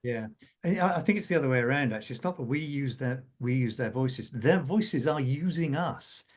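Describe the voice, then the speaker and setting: A man's voice: mid-range voice, a little fast. A man talking conversationally as a scriptwriter on a panel, repeating words for emphasis. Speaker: radio drama scriptwriter, panel guest.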